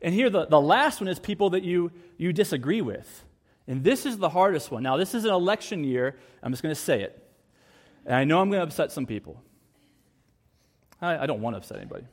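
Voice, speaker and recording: A pastor preaching a sermon to his church. His voice sits on the higher side for a man, and he speaks earnestly, driving points home by repeating them. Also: very animated, fairly quick. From United States. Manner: earnest, with emphatic repetition.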